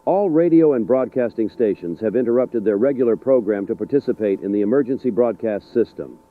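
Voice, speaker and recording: A man playing a composed 1980s emergency broadcast announcer, speaking in a deliberate, official style. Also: mid-range voice, lively intonation, natural voice. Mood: formal, composed. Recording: tinny narrow-band 1980s radio broadcast.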